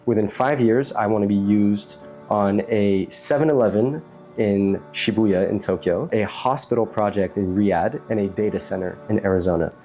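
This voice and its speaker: Deep-voiced American man being interviewed. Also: normal speed, warm tone, faint music behind. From United States, New York City. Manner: explanatory, conversational, frequent "like". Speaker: interview guest, startup chief executive. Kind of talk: casual interview talk.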